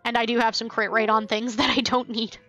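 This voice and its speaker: High-voiced woman streaming a video game. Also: little pitch movement, fairly quick, sharp tone, faint music behind. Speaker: game livestreamer. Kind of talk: casual livestream chat while playing.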